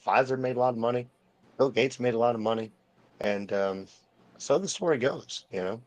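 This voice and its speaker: A man's voice: medium pitch, moderate pace, no room sound. Profane man rambling in casual talk. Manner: profane, insistent, repeats himself. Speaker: talk show co-host. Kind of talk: casual rambling conspiracy chat.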